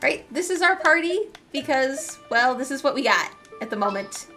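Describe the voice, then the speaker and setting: A woman's voice: sits high, lively intonation, normal speed, sharp tone. A lighthearted, enthusiastic woman giving casual gameplay commentary as a streamer. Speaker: game streamer. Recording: game music under the voice.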